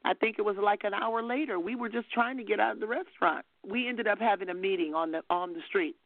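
An older woman minister, soft-spoken but fervent, telling a story as testimony on a call-in broadcast. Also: medium pitch, quick pace, no echo. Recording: phone-line audio.

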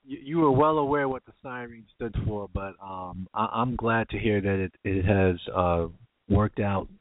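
A man hosting a call-in radio show, brisk and keeping callers to the clock. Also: on the low side, dry recording, narrow, tinny audio.

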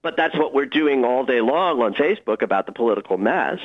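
Animated man, widely swinging pitch. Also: a little fast, phone-quality sound. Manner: enthusiastic, emphatic. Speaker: guest astrologer. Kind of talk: radio interview, conversational advice.

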